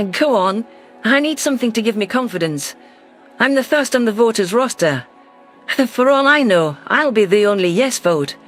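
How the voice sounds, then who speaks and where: A man's voice: high voice, animated, normal speed, fairly bright tone, faint music behind. A man voicing an affectionate, earnest alien governor in a science fiction audio story, speaking in a heartfelt way. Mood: tender, teasing.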